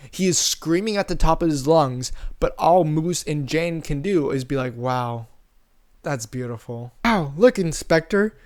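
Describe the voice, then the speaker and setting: Young male YouTube commentator, slightly high voice, casual and irreverent comedic recapping. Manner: irreverent, sarcastic, acts out characters' lines.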